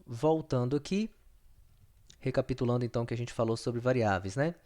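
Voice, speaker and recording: A man's voice: mid-range voice, brisk, a little mellow. A man teaching a recorded programming lesson, patient and repetitive, often checking in with his students. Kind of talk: recorded screen-share lesson.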